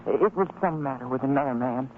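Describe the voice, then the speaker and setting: A man's voice: mid-range voice, a little fast, phone-quality sound, natural voice. A young-sounding male actor playing an earnest, dutiful boy, hesitant and deferential, in an old radio drama. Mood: anxious, earnest. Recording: thin, band-limited old radio recording, faint noise.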